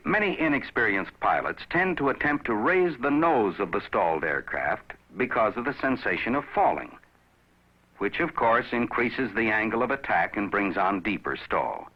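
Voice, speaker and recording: A man narrates a scripted educational film in a measured, instructive manner, addressing the viewer directly. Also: lowish voice, breathy edge. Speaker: narrator of a pilot training film. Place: recorded voice-over. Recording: dull, aged film soundtrack.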